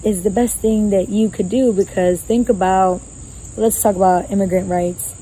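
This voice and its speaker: A young woman guest on a podcast, talking loudly and casually with lots of slang and "you know what I'm saying.". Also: mid-range voice, fairly even intonation, normal speed, slight background noise, rough recording. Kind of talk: casual conversational interview. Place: outdoor gazebo with crickets.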